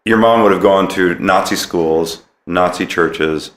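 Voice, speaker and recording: Low-voiced man hosting an interview. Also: measured pace, echoey room, slight background noise. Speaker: podcast host. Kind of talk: thoughtful casual interviewing.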